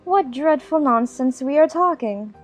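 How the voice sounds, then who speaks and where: A woman's voice: sits high, animated, measured pace, over music. A woman playing an earnest, polite young girl in a dramatized storybook, eager and decided as she reasons things out. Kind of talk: dramatized audiobook acting.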